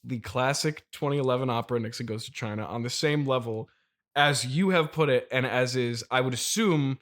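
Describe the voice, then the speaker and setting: A man's voice: mid-range voice, a little fast, fairly bright tone. An American man hosting an improvised comedy interview, wryly repeating things back with mock seriousness. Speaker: podcast interviewer.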